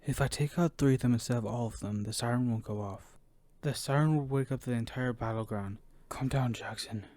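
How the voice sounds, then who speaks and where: A man's voice: mid-range voice, fairly quick, a little mellow, no room sound, lightly acted. A man acting in an amateur audio drama as an earnest, impulsive young fighter in a battle game. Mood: hushed, nervous. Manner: earnest, urgent.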